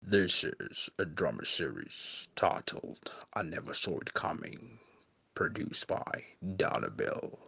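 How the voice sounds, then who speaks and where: A man's voice: sits low, very animated, takes it slowly, vocal fry, bright, forceful tone. A man announcing a drama series in scripted intro narration, on a noisy, dull recording.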